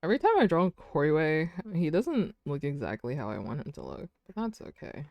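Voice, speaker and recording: A woman's voice: low voice, sing-song, normal speed, no room sound, lo-fi sound. Chatty laughing woman in her twenties. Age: 20s. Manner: chatty, rambling, laughs often, says "dude" and "like". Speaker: streamer drawing fanart. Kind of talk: casual chat while drawing on stream.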